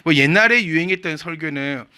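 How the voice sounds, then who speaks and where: A man with a Gyeongsang accent preaches in a conversational, joking way. His voice sits high and bright, and his pitch swings widely as he asks his listeners questions. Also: lively intonation, a little fast, clean tone. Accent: Gyeongsang Korean. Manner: chatty and humorous, asks the congregation questions. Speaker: pastor. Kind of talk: conversational sermon.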